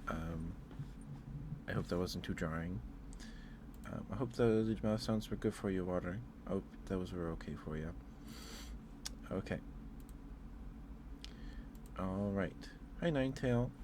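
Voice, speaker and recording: A man's voice: sits low, unhurried, pauses often, background noise. A man chatting casually on an ASMR livestream, rambling hesitantly and repeating his phrases, close to the microphone.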